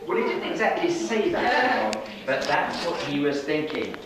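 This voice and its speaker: A middle-aged man telling a Bible story to young children in an animated way, pausing and putting questions to them. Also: sits high, vocal fry. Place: children's classroom with seated young audience. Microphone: distant camera at back of room. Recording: echoey, noisy room recorded from far off.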